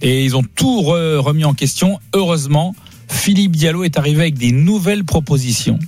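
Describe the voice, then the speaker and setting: A man with a French accent hosting a radio show, joking and telling stories in an animated, slangy, teasing way. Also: fairly high pitch, brisk. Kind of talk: jokey casual chat and storytelling. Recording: dry studio with music behind. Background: music.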